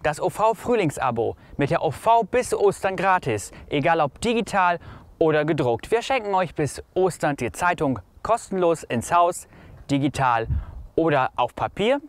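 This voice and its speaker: Higher-pitched young man presenting a promotion. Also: no echo. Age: young adult. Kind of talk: scripted advertising presentation.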